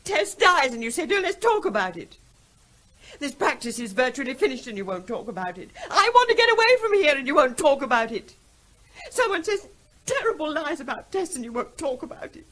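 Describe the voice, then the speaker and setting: An anxious, pleading middle-aged wife in an old radio drama. Her fairly high voice rises and falls with feeling. She sounds strained and close to breaking. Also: big pitch swings, brisk, fairly bright tone. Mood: distressed. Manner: emotional, pleading. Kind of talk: 1970s radio drama acting.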